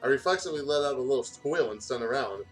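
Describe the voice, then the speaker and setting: Guy reading fanfic out loud, stumbles a bit, chimes in on it, music playing low. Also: expressive, fast talker. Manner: stumbles over words and comments on own reading. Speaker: gaming video host reading fan fiction aloud. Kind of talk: casual fan fiction read-aloud with asides.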